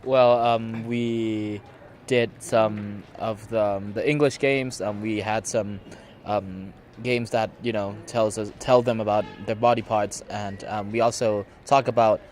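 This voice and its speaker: A young man from Thailand giving halting, thoughtful answers as a student in an outdoor interview. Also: on the low side, fairly even intonation, darkish tone, soft background music. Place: outdoors in a city. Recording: noisy outdoor street ambience.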